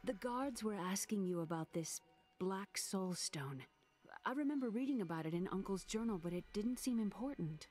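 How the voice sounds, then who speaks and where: A woman's voice: mid-range voice, expressive, moderate pace, a little mellow, soft background music, natural voice. A woman voicing a determined, trusting young scholar in a fantasy video game, speaking earnestly. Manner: earnest, clear-spoken.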